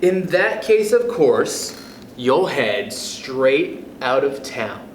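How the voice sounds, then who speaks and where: A young man reading a picture book aloud to children, gentle and animated, with soft chuckles and friendly asides. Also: mid-range voice, drawn-out words, echoey room, a little hiss or hum, poor audio. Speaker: youth club storyteller.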